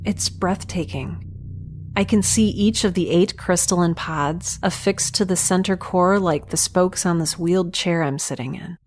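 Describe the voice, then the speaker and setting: A woman's voice: on the low side, measured pace, a little hiss or hum, close to an everyday voice. A woman playing a guarded, wry young scientist in a science fiction audio drama, speaking in a casual way. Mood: awed.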